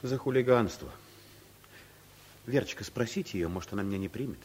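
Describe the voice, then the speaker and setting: A man's voice: lowish voice, a little fast, a little mellow, a little hiss or hum, lightly acted. An actor playing a timid, bumbling male office clerk in a radio comedy, meek, apologetic and flustered as he placates. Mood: nervous, apologetic. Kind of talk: radio comedy play acting.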